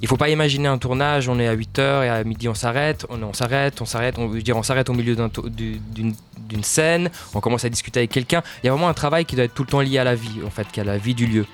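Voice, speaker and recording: A man from Portugal, a film director being interviewed, talking fast and searching for words as he explains at length. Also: mid-range voice, soft background music. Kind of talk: interview answers.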